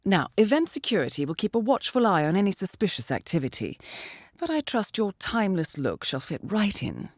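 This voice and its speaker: A woman's voice: mid-range voice, wildly swooping pitch, brisk, dry recording, clean studio sound. An actress playing a cool-headed female intelligence handler in a video game, giving scripted mission briefings in a composed, formal, dryly understated way. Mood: poised briefing.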